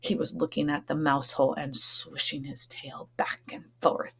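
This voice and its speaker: A woman's voice: low voice, flat delivery, normal speed, gravelly, a bit bright, slightly rough, in a whisper. A woman teacher reading a storybook aloud to young children, expressively, with explanatory asides.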